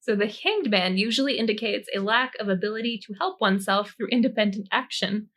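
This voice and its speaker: A woman's voice: mid-range voice, a little fast, a bit bright. A woman co-hosting a podcast, giving a tarot reading in an informal, joking way and reading card meanings aloud.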